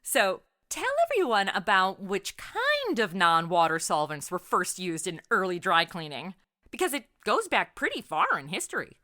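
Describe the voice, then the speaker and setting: A woman's voice: mid-range voice, wildly swooping pitch, normal speed, bright, forceful tone. A woman hosting a podcast with playful, quippy, semi-scripted comedic interview banter. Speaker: podcast host.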